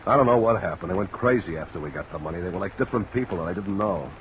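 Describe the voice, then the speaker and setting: Deep-voiced nervous crook, noisy rain. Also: male voice, expressive, rapid-fire. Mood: resigned, sullen. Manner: evasive, blustering, sullen. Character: nervous, defiant male bartender cornered into confessing. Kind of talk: old-time radio crime drama acting. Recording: noisy vintage radio recording, rain sounds.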